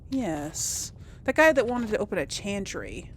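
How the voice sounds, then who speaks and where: A woman's voice: medium pitch, sing-song, measured pace. A woman hosting a casual video game let's play, rambling and musing to herself as she goes. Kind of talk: casual game commentary, thinking aloud. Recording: faint background noise.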